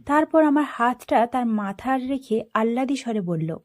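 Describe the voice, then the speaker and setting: A woman storyteller narrating a first-person story in an expressive, dramatic way. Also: a little high, moderate pace.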